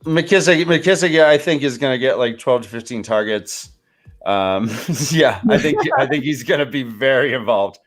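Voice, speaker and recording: A man on a sports panel talking casually, thinking aloud in halting phrases. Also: medium pitch. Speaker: fantasy football panelist.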